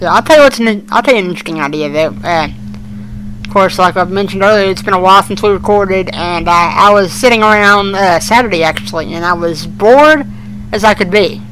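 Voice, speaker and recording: Male, very high voice, bright tone, casual podcast co-host, faint hum behind. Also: a little fast, poor audio. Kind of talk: casual fan podcast chat.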